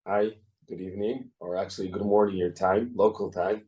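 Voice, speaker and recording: Man from Israel, deep voice, mellow, halting, fillers, distant from the mic. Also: little pitch movement. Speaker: reserve soldier guest. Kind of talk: remote interview answer.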